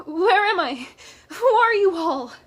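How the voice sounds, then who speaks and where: A woman's voice: fairly high pitch, fairly even intonation, moderate pace, natural voice. A woman voicing a frantic, pleading young man, a blind human refugee in a fantasy story. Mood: panicked. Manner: frantic, exclaiming.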